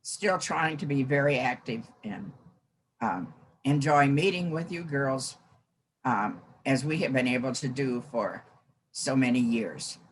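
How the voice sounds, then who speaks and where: An 89-year-old American woman reminiscing in a group interview, with halting, deliberate phrasing. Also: a bass voice, slight background noise, poor audio. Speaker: former scout troop helper.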